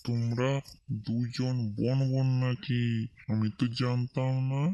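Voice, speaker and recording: A soft-toned, mellow voice for a flustered young cartoon boyfriend , played by a man. He is a sheepish two-timer feigning innocence, recorded very dry. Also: mid-range voice, level tone, unhurried, dry recording, natural voice. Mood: surprised. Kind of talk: cartoon skit dialogue.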